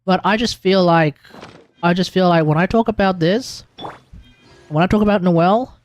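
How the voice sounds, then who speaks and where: An Australian man with a notably high voice talks casually to a livestream. He is blunt and slangy, rambling through his thoughts and leaning hard on his points. The sound is close and dry, with music playing underneath. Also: fairly even intonation, moderate pace, no room sound. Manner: blunt, rambling, emphatic, slangy, thinking aloud. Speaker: livestreamer, gaming content creator. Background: music in the background.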